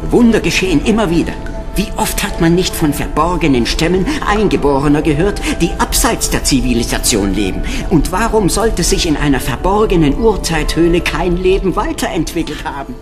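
An actor, a man, playing an excitable fossil expert in a children's audio drama, explaining things dramatically and full of wonder. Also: on the high side, brisk, music in the background. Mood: mysterious. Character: awestruck, excitable fossil expert, adult scientist.

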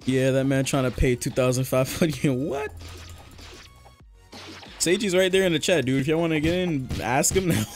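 A man's voice: on the high side, lively intonation, moderate pace, pauses often, faint music behind. A young man chatting casually with viewers while playing a game, joking and laughing, his voice a bit worn from talking for hours. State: voice getting worn from long talking. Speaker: game streamer. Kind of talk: casual live-stream chat while gaming.

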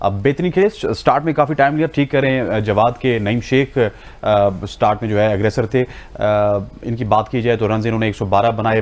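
A man hosting a studio cricket show, reading stats and questioning guests with frequent fillers. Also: fairly low pitch. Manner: presenter tone with many fillers. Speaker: sports show host. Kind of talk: TV sports presenting.

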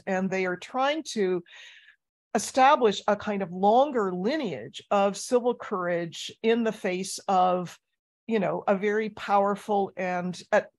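Woman, middle-aged, academic talk, halting, dry close sound, webcam mic. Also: medium pitch, no echo. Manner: halting, with many "um" and repeated words. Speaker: scholar presenting on documentary film.

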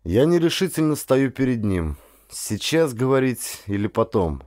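A man reading first-person literary fiction as an audiobook, with a dramatic, deliberate storytelling delivery. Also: medium pitch, sing-song, a little mellow. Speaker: audiobook narrator, actor.

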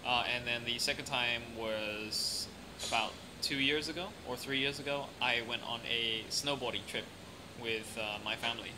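A man's voice: mid-range voice, normal speed. A young adult man with a mixed international, lightly British accent, chatting casually as an interview guest. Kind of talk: casual chat. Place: café or restaurant at night. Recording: clearly noisy room ambience.

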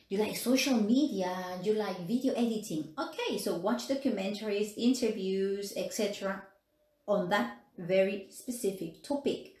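A woman in her forties with a Peruvian Spanish accent, speaking at a middle pitch as she chats through an English lesson. She laughs often and leans on fillers and little tag questions. Her voice sounds echoey, recorded on a phone in a small room. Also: a little mellow. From Peru. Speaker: English teacher. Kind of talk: casual live-stream teaching.

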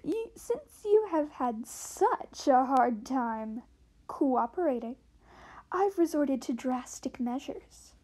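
Villain queen dragon for amateur animation, played by a woman: high voice, imperious, menacing, slow theatrical delivery. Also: animated, dark tone, heavily acted. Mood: loud menace. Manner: theatrical, drawn-out, deliberate delivery.

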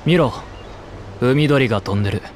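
A man voicing a calm, curt young elf swordsman. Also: mid-range voice, moderate pace, slight background noise, natural voice. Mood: steady. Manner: curt, level.

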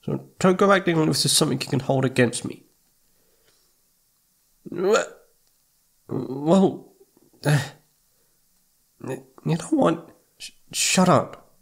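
A man's voice: a little high, lively intonation, takes it slowly, vocal fry, long pauses, breathy edge, full character voice. A man playing a needy, playful young boyfriend in intimate ASMR roleplay, pouty and sweet, stammering when flustered.

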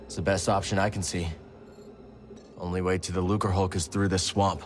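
A man plays a young hero in a video game. His voice is low, earnest and resolute, delivered naturally over background music. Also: little pitch movement, brisk, close to an everyday voice. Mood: focused. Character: earnest, determined male Jedi hero.

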